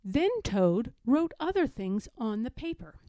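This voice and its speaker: A woman narrating a children's story as a read-aloud, with expressive, deliberate delivery. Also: on the high side, no room sound, studio quality. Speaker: storybook reader.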